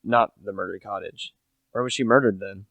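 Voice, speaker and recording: A man's voice: fairly low pitch, fairly even intonation, normal speed, fairly bright tone, dry recording, rough recording. A young man playing a humble, earnest salesman turned amateur sleuth in a radio play, plain-spoken and a little hesitant. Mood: curious. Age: young adult.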